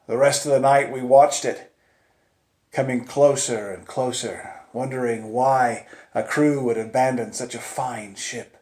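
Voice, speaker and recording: Male storyteller, mid-pitched and unhurried, theatrical suspense delivery with big dynamic swings, roomy sound. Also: steady tone. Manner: theatrical, swinging from hushed near-whispers to loud urgent peaks; deliberate, with dramatic pauses and repetition. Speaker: storyteller narrating in first person. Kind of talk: dramatic live oral storytelling of a suspense tale. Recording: roomy, echoing space.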